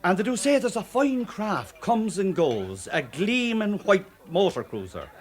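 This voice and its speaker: An actor with an Irish accent playing a genial, talkative, canny police superintendent in a radio drama. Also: male voice, sits high, big pitch swings, unhurried. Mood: genial, storytelling. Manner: folksy, cajoling, garrulous.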